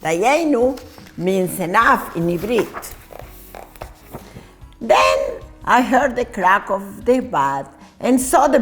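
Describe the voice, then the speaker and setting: A woman reading a children's story aloud in an expressive, deliberate way, occasionally stumbling over words. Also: on the high side. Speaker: storytime reader.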